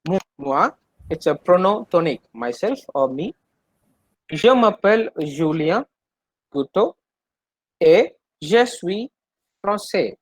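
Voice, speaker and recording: A man's voice: sits high, lively intonation, takes it slowly, pauses often, dry recording, poor audio. Indian-accented man teaching, close dry sound. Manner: repeats phrases, checks understanding with short questions. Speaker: French language teacher. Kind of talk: interactive language lesson, translating line by line.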